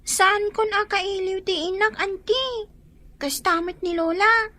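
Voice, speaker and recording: A woman's voice: very high voice, steady tone, slow pace, bright, forceful tone, full character voice. A woman playing a hurt yet dutiful young Filipino schoolgirl in a radio drama, plaintive and deferential. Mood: hurt, longing.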